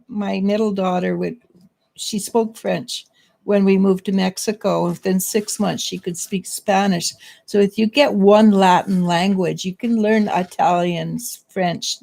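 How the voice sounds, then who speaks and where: A middle-aged woman giving a casual psychic reading, halting and restarting as she searches for words. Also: medium pitch, steady tone, vocal fry, a little mellow. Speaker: psychic reader. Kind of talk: casual spiritual reading and chat.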